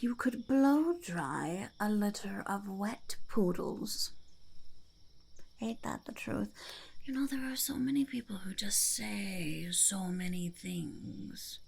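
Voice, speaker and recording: A woman voicing a blunt, cheerfully cynical female goblin in an ASMR roleplay, musing and muttering in a creaky, breathy half-whisper. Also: mid-range voice, exaggerated voice.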